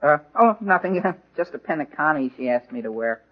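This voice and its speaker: Man playing flustered teenage boy, high voice, hesitant stammer, polite, lovesick, 1940s radio comedy, muffled old broadcast. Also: expressive, normal speed, close to an everyday voice. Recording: muffled vintage radio recording.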